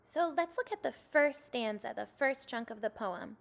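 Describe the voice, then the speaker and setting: A woman's voice: on the high side, expressive, normal speed, creaky. A young woman teaching second graders a recorded reading lesson, giving patient step-by-step instructions.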